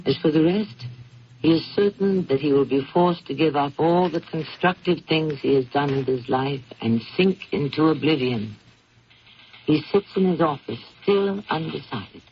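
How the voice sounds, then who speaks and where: An American man hosting and narrating a radio drama, reading the script in a measured, grave, dramatic manner. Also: on the high side, slight background noise. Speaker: host and narrator. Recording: dull old radio broadcast.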